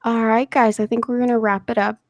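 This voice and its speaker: A woman hosting a casual livestream interview, her voice hoarse and scratchy as she chats and giggles. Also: a little high, level tone, brisk, no echo. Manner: chatty, giggly, reads chat messages aloud. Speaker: livestream host interviewing a guest.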